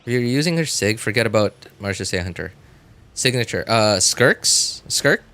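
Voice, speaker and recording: A man chatting casually to viewers as a game livestreamer, rambling and often repeating himself in a halting way. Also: fairly low pitch, expressive, sharp tone.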